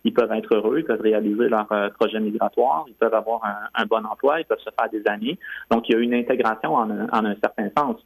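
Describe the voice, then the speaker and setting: A man with a Quebec French accent giving measured, step-by-step explanations as a guest in a radio interview. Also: on the low side, steady tone, creaky, breathy edge, no echo, poor audio. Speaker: guest, French-language commissioner.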